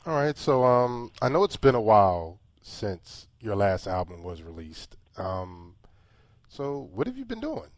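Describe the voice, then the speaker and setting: A man's voice: on the low side, big pitch swings, a little slow, dry recording. A man interviewing a guest on a radio show, asking friendly, hesitant questions. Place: radio studio.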